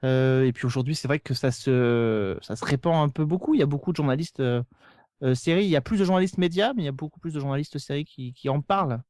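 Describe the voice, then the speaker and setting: A man's voice: mid-range voice, fast talker, darkish tone, dry recording, studio quality. A man chatting casually on a podcast, explaining at length and hesitantly repeating words as he goes. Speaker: podcast host of a TV series show. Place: remote internet call recording. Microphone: podcast microphone.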